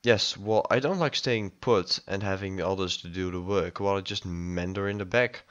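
Slightly muffled recording of a man as a self-important president in a sci-fi audio drama. He is formal and insistent, with a fairly low voice. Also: normal speed, fairly bright tone. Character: restless, self-important male president turned amateur sleuth.

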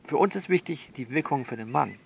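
A male actor plays a man coaching someone over the phone in an audio drama. His voice is gravelly and mid-pitched, with faint noise behind it. He reassures and bosses in turn, in halting, repeated instructions. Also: lively intonation, low-quality recording. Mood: bossy, coaxing. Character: bossy, soothing male confidant coaching a nervous woman. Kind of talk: one-sided phone conversation in an audio novel.

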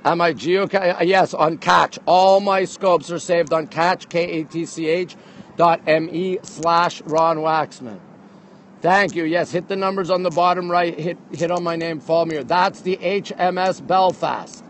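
A man chats casually to live viewers in short, excitable bursts, repeating his phrases. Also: a little high, unhurried. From Montreal, Canada. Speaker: livestream host. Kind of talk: casual live walking tour chat. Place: outdoors on a city bridge by a river. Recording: windy outdoor phone, ambient noise. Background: wind and light outdoor noise.

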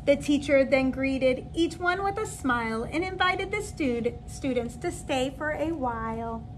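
A woman with a high voice reads a picture book aloud like a kindergarten teacher. She takes it slowly and expressively for young listeners. There is faint noise behind her in a classroom recording. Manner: expressive, animated delivery to children. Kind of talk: read-aloud storytelling for young children. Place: indoor classroom.

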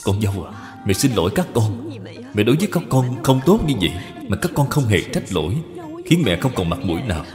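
A man's voice: fairly low pitch, very animated, brisk, over music. A man voicing a humble, remorseful older mother in a cartoon. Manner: apologetic, humble. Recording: long hall reverb.

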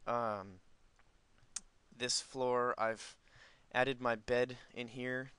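A man's voice: mid-range voice, steady tone, extremely slow, a bit bright, clean tone, dry recording. A man giving casual, unscripted gameplay commentary, rambling as he thinks aloud. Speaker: gaming video host.

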